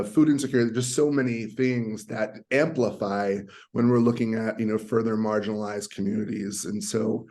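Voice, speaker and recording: A man with a slightly raspy voice, a guest on a video call panel, talking earnestly with many fillers and restarts. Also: medium pitch, a little mellow. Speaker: guest, community center leader. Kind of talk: virtual panel conversation. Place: conference hotel.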